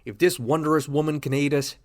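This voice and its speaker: A man's voice: fairly high pitch, fairly even intonation, fairly quick, close to an everyday voice. A man playing a defiant superhero leader. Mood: rallying. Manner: heroic, declamatory. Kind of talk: fan radio drama acting.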